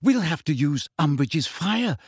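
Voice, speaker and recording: A man's voice: a little high, sing-song, a little slow, slightly rough, no room sound, clean studio sound, full character voice. A man voicing a clever, anxious teenage girl witch who argues fiercely and pleads.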